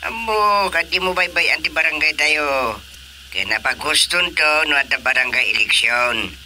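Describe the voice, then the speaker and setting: A man's voice: a little high, moderate pace, bright, forceful tone, slight background noise. An actor playing a plain-spoken elderly father with an Ilocano Filipino accent in a radio drama. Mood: coaxing.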